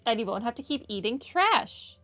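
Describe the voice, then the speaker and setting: A woman's voice: a little high, big pitch swings, normal speed, sharp tone. A woman giving casual, offhand commentary as she plays through a video game. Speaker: gaming streamer. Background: game music.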